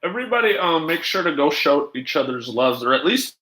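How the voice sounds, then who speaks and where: A man hosting a livestream in casual chat, rambling haltingly and jumping between asides as he talks to viewers. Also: a little high, sing-song, pushed and bright, poor audio. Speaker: livestream host.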